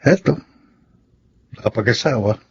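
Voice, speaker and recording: An actor playing a self-pitying, insistent middle-aged suitor in a radio drama, earnest and melodramatic with a rough voice. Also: male voice, medium pitch, animated, a little slow, heavily acted. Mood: rueful. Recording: dull lo-fi radio drama sound.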